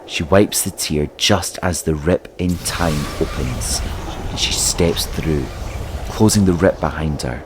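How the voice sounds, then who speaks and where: A man's voice: extremely low pitch, takes it slowly, noisy background. A man narrating an audio drama, reading out scene directions in a scripted style. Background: music.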